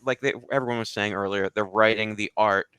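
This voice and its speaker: A man chatting casually as a show panelist, halting and meandering but enthusiastic. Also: lowish voice, fairly even intonation, pushed and bright, clean tone, no room sound, studio quality. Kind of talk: casual chat, comics commentary.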